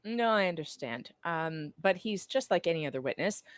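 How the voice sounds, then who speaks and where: A woman's voice: on the low side, lively intonation, normal speed, dry recording. A woman reading transcript lines aloud casually, with playful, teasing conversational delivery. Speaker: guest co-reader. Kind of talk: casual dramatic reading of court transcript with banter.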